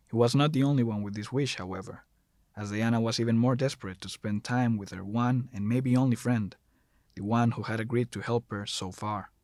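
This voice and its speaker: A man's voice: fairly low pitch, darkish tone, dry recording, clean studio sound. A man reading scripted third-person narration for a dramatic audio story.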